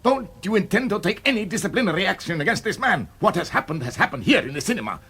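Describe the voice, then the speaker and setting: An actor in a radio drama playing an angry, zealous Egyptian police captain who speaks in a formal, accusing way. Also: male voice, high voice, big pitch swings, quick pace, pushed and bright, breathy edge, full character voice. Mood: indignant, stern.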